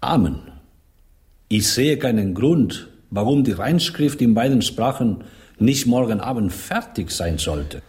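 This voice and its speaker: An actor playing a courteous but impatient diplomat in a radio drama, speaking formally. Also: male voice, mid-range voice, lively intonation, darkish tone, lightly acted. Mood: formal, restrained. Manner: formal, measured.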